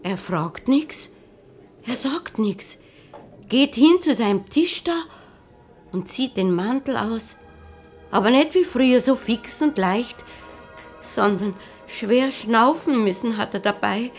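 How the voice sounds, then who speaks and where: A slow café woman. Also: on the high side, expressive, faint music behind. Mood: pitying. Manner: homely dialect storytelling. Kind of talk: radio drama acting.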